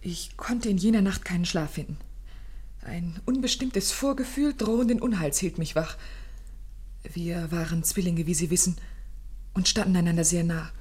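An actress playing an anxious, grieving young gentlewoman who tells her story formally and intensely in a German radio play. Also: fairly low pitch, normal speed, breathy edge. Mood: haunted, tense. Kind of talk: German radio play acting, dramatic first-person narration.

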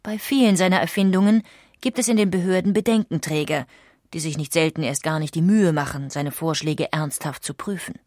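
A woman reading scripted documentary narration in a measured, factual manner. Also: sits low, darkish tone, dry recording, clean studio sound.

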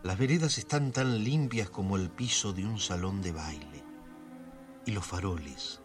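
A man giving calm, scripted narration in a radio drama. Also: low voice, measured pace, music in the background.

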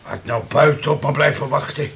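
A male actor playing a brusque, domineering, impatient boss with a gravelly, tense voice in a Dutch radio play. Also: on the high side, a little fast, a bit bright, slight background noise. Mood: stern. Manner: brusque, commanding.

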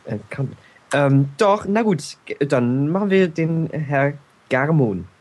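A man speaking in a slightly high, husky voice, hesitant and hunting for words. He is a co-host running the game and the timer. The talk is loose and chatty. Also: expressive, takes it slowly, a little mellow. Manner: hesitant, full of fillers and restarts. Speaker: podcast co-host. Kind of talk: casual game chat.

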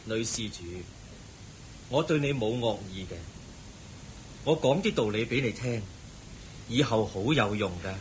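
An actor with a Hong Kong Cantonese accent voicing a calm, patient Buddha who gently teaches. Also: male voice, mid-range voice, measured pace, pauses often, a little hiss or hum, natural voice, poor audio. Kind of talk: Cantonese radio drama acting.

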